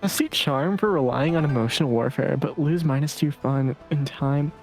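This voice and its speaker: A man's voice: a little high, moderate pace, darkish tone, faint music behind. A man casually reading along and chatting as a player on a live game stream.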